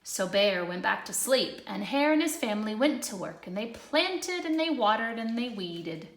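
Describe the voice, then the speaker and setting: A woman narrating a children's storybook in an expressive read-aloud style. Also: medium pitch, normal speed. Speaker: storybook reader. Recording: echoey room.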